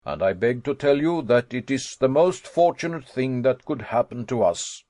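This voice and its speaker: A man's voice: medium pitch, expressive, warm tone, dry recording, lightly acted. A man doing an unflappable old professor. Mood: calm. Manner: cool, measured, faintly amused. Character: calm, unflappable older professor uncle.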